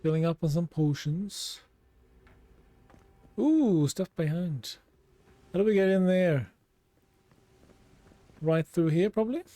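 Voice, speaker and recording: A man's voice: high voice, animated, slow, long pauses, mellow. A man with a Norwegian accent giving chatty let's play commentary, reacting aloud and talking to his chat. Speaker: streamer.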